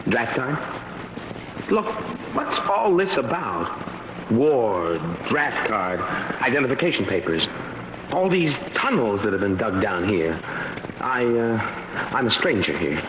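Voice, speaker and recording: An actor playing a bewildered young American academic who stammers in disbelief, in 1950s radio drama style. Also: male voice, medium pitch, very animated, slow pace. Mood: baffled. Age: 20s. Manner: stammering, incredulous. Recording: noisy muffled old radio recording.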